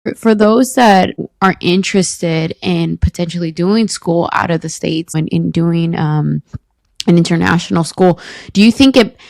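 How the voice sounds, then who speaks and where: Woman host asking questions politely, kinda low voice, dry sound. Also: unhurried, vocal fry, a little mellow, no room sound. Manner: polite, formal introductions. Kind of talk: interview hosting.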